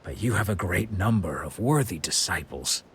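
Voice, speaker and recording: An actor voicing a weary wandering swordsman in a game, stern but caring, speaking in a grave, measured way with sighs. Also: low voice, lively intonation, vocal fry, slight background noise. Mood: earnest. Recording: game soundtrack behind.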